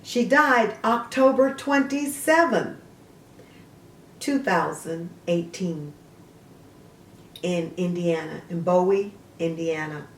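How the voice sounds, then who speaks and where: A woman hosting a literary vlog, speaking theatrically in emphatic, measured short phrases. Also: on the low side. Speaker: host of a literary video show. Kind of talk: dramatic, theatrical vlog monologue, partly reading aloud. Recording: roomy echo, distant mic, faint noise.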